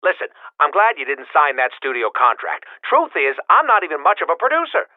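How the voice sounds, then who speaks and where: An actor playing a chummy, breezy showbiz talent wrangler in a video game, through an old telephone filter. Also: male voice, a little high, huge pitch swings, fairly quick, no room sound. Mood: chummy, upbeat. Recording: narrow, tinny telephone line.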